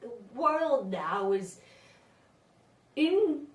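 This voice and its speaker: Very high, drawn-out dreamy villager. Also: female voice, very animated, exaggerated voice. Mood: bewildered, rueful. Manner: halting, drawn-out, melodramatic delivery with repeated phrases. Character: wistful, dreamy female villager mourning a lost shimmering world. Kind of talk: improvised theatrical monologue at a puppet rehearsal. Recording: roomy echo, distant microphone.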